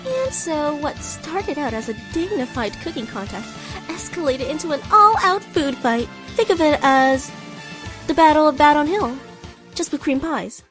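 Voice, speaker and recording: A woman's voice: high-pitched, lively intonation, moderate pace, music in the background. A woman reading scripted narration for a radio play.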